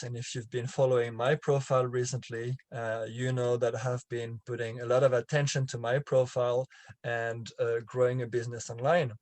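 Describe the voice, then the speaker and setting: A middle-aged man from France with a French accent, explaining marketing through his own story and often pausing on fillers and restarts. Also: mid-range voice, a little mellow, no room sound. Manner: halting, many fillers and restarts. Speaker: online marketing coach. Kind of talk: explaining with a personal example.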